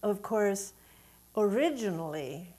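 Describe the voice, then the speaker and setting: A middle-aged or older woman from Sweden, a native Swedish speaker, telling her story as an interview guest in a measured, deliberate, reflective way. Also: mid-range voice, expressive, warm tone. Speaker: guest, Unitarian Universalist minister.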